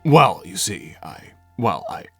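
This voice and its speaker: A man voicing a brooding, proud but awkward male hedgehog in a fan cartoon dub, terse and grudging, with halting delivery. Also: deep voice, very animated, creaky, pushed and bright, faint music behind, exaggerated voice. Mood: awkward, defensive.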